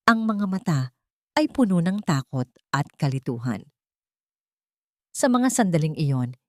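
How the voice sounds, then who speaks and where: A woman narrates a dramatic audiobook in a fairly low voice. The delivery is scripted storytelling, recorded dry and close. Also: a little mellow, dry recording.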